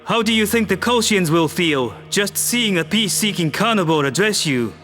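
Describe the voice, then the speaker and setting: A man's voice: high voice, animated, moderate pace, fairly bright tone, soft background music. A man voicing a human diplomat making an earnest, persuasive speech to an alien assembly.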